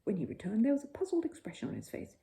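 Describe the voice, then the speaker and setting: A woman reading a children's bedtime story as an expressive narrator. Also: medium pitch, racing, creaky.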